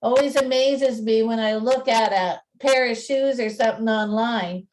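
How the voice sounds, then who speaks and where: A woman working as narrator and presenter, telling a story in a measured, deliberate way with informal presenting. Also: a little high, a little mellow, poor audio.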